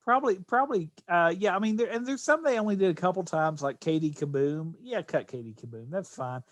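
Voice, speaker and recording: A man's voice: high-pitched, expressive, brisk, no echo. An American man hosting a podcast in casual chat, rambling and often doubling back on his phrases. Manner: rambling, repeats and restarts phrases. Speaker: podcast host.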